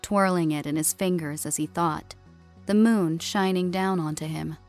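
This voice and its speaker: A woman narrates a scripted story as an audiobook reader. Her voice sits a little low. Quiet music runs behind the narration. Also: normal speed.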